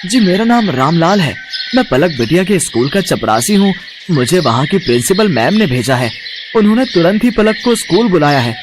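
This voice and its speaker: A man's voice: on the high side, very animated, normal speed, noisy background. A man playing a polite, mild working man in a children's story. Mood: respectful.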